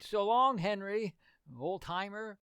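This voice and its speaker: Man playing a high-pitched, glib teenage buddy. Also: slow, bright, forceful tone, clear, resonant tone, dry recording, clean studio sound, exaggerated voice. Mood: evasive, glib. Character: Homer, reluctant, self-serving teenage buddy of the hero. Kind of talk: old-time radio comedy acting.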